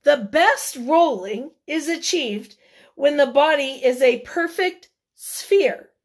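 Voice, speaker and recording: A woman's voice: high voice, expressive, slow, exaggerated voice. A woman voicing a prim, know-it-all hedgehog in a storybook, precise and instructive. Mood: instructing.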